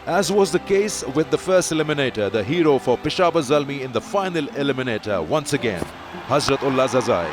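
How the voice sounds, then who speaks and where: A man's voice: mid-range voice, lively intonation, brisk, over music. A man reading scripted sports documentary narration, switching between English and Urdu.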